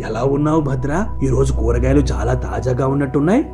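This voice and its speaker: A man playing a calm, patient village teacher in an animated moral story, speaking in a measured, gently instructive way. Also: medium pitch, over music, close to an everyday voice. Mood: friendly.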